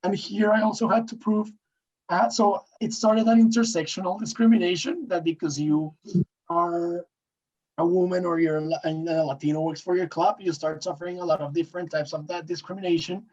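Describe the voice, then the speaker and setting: Colombian-accented man, podcast guest, earnest, halting, fairly high voice. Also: steady tone, a little mellow, muffled recording. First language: Spanish. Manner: earnest, searching for words, frequent "eh" fillers. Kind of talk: casual interview talk.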